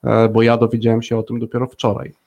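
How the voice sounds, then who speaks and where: Mężczyzna prowadzący na żywo swobodną rozmowę z gośćmi, gadatliwy, chętnie powtarzający słowa dla podkreślenia. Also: male voice, mid-range voice, completely flat delivery, fast talker, creaky, darkish tone. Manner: chatty, repeats phrases for emphasis. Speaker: host of a live talk show with guests. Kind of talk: casual, friendly co-hosted chat.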